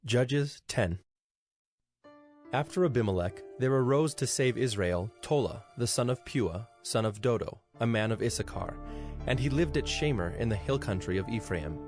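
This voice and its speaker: A man narrating scripture from a script in a measured, solemn manner. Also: mid-range voice, lively intonation, a little mellow, soft background music.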